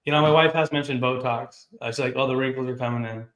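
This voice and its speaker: A man's voice: mid-range voice, fairly even intonation, fast, fairly bright tone, echoey room. A man in his late thirties hosting a show over a video call, joking and upbeat. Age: 30s. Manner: jokey, upbeat, self-deprecating. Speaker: host. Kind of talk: casual video-call chat.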